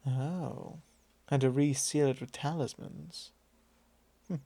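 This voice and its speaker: A man playing a possessive, teasing vampire lord in an intimate ASMR roleplay, speaking softly in a measured, drawling, formal way. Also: mid-range voice, steady tone, vocal fry, no room sound. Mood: curious, musing. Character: possessive male vampire lord, teasing, calmly probing his thrall.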